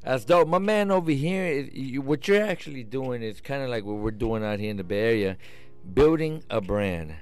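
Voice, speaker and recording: A man's voice: mid-range voice, expressive, moderate pace, a little mellow, smooth, soft background music. An American man hosting a radio interview in a casual, slangy style. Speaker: radio show host. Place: radio station studio.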